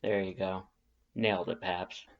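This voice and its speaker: A man voicing a laid-back, gentle skeleton who mutters kindly in a fan fiction audiobook. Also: level tone, unhurried, highs cut off. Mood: easygoing, reassuring. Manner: low-key, muttering. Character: laid-back, gentle male skeleton friend, quietly comforting.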